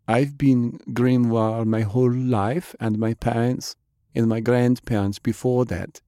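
A man voicing a calm, bookish young woman. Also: mid-range voice, a little slow, no echo, clean studio sound, voice pushed up, close to an everyday voice. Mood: matter-of-fact. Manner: calm, matter-of-fact. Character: bookish, matter-of-fact young woman healer. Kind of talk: audiobook character voice.

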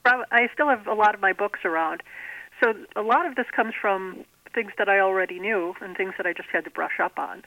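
A woman being interviewed as an author, explaining things conversationally in a measured way. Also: medium pitch, dry recording. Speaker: guest author. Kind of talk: conversational interview about own writing. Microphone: telephone. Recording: thin, band-limited telephone line.

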